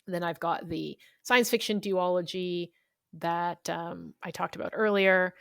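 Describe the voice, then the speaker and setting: A woman speaks as an interview guest in a fairly low voice. She explains things informally and thoughtfully, as an author talking about work. The sound is dry, with little room in it. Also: fairly even intonation, a little slow, vocal fry, clear, resonant tone, no echo. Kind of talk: conversational interview.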